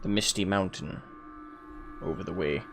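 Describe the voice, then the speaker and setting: A man's voice: low-pitched, normal speed, noisy background. A man streaming a strategy game, chatting casually and laughing at himself. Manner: self-deprecating, laughs often. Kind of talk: casual gaming chat.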